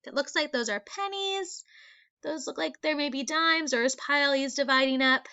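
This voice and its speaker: A woman's voice: high voice, normal speed, bright, forceful tone, lo-fi sound. A woman teacher reading aloud a lesson for young children in an instructional manner, explaining things step by step. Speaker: elementary school teacher.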